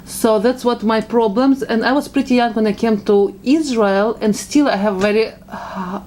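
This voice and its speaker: A woman with a strong Russian accent answering questions in a casual family chat, halting and searching for words as she talks. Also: a little high, a little mellow, slight background noise. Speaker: vlogger, mother.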